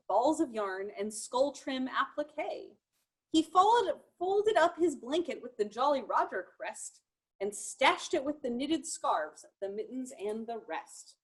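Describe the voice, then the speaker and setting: A woman reading a children's picture book aloud expressively, in measured rhyme with chatty asides. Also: sits high, slightly rough. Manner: expressive, measured rhyming delivery. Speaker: storytime reader.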